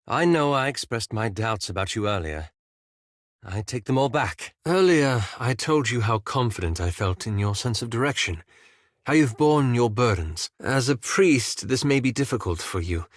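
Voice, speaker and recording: An earnest, formal, self-doubting wizard, dry recording. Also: male voice, lowish voice, no echo. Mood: concerned, formal. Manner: formal, measured, hesitant, thoughtful phrasing. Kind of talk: video game voice acting, dialogue lines.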